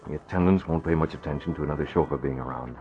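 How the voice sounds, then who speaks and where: An actor playing a resolute, shrewd masked vigilante in an old radio drama. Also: male voice, extremely low pitch, very fast. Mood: low, plotting. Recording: dull old radio recording.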